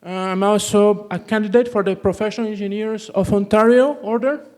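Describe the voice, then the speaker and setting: A high-voiced man with a Brazilian Portuguese accent gives a technical conference talk. He often breaks off mid-word, restarts, and tags sentences with "okay". His voice carries the long reverb of a conference hall. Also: fairly even intonation, brisk, a little mellow. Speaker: conference presenter.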